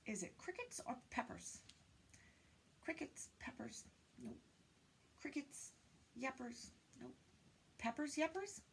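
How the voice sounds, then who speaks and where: An older woman reads a picture book aloud to young children, counting in a deliberate singsong and prompting them with questions. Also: high voice, sing-song, creaky, a little hiss or hum. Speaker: children's teacher reading aloud. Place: indoors, small room lined with bookshelves.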